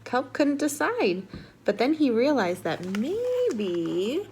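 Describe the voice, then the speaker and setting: A woman reading a storybook aloud to young children in an animated, expressive teacher's manner. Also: high voice, slow pace, breathy edge. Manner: animated, asks the listeners questions.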